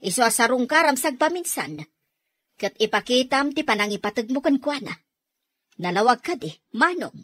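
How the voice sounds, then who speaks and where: An earnest, pious Ilocano woman scolding in a radio drama. Her pitch is fairly high and very lively, on a dry, close recording. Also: moderate pace, no room sound. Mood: earnest, firm. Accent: Filipino, Ilocano. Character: earnest younger sister scolding her brother, righteous, pious.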